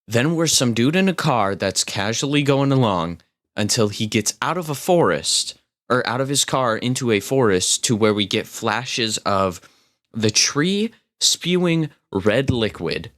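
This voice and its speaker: A man's voice: mid-range voice, animated, unhurried, a bit bright. A young man giving casual, slangy reaction commentary as a video host, with puzzled asides.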